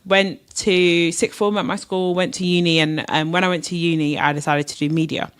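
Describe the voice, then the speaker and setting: A woman's voice: fairly low pitch, flat delivery, fairly quick, smooth. A young woman from South London, a podcast guest chatting easily about her life. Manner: easygoing, many fillers, says "like" often. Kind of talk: casual interview chat.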